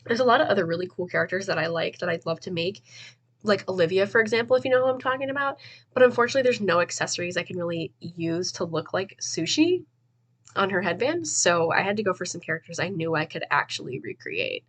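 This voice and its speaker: A woman's voice: fairly low pitch, sing-song, quick pace, breathy edge. A young woman with a stuffy, slightly nasal voice, casually talking through a game build as a chatty voice-over host. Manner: chatty and rambling, with fillers and laughs. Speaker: gaming video host. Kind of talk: casual voice-over gameplay commentary.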